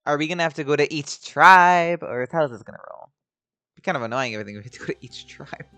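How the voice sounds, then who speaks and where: A woman's voice: low voice, expressive, fairly quick, pushed and bright. A woman streaming a video game, giving informal commentary in short, offhand reactions. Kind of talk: casual gameplay commentary.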